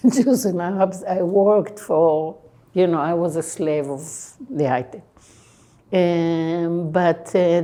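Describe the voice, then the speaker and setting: A woman in her sixties speaks with an Israeli accent, low and mellow in tone, taking her time. She answers interview questions haltingly, pausing often and filling gaps with hesitant sounds. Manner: slow, halting, many pauses and fillers. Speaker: guest, tech entrepreneur.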